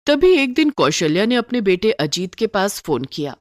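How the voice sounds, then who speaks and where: A woman's voice: mid-range voice, big pitch swings, normal speed, dry recording, clean studio sound. A woman reading scripted narration for a moral story, as its storyteller.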